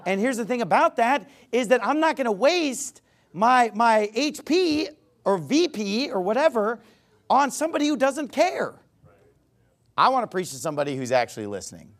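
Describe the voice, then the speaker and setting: A man's voice: very high-pitched, sing-song, moderate pace. An American man preaching a sermon in a conversational, argumentative way, stressing points by repetition and addressing listeners directly in a folksy manner. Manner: emphatic, repeats phrases for stress.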